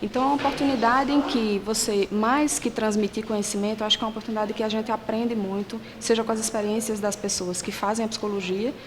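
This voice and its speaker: A woman's voice: on the high side, steady tone, quick pace, hardly any pauses, background noise. A woman, a psychologist, giving an articulate, academic interview answer.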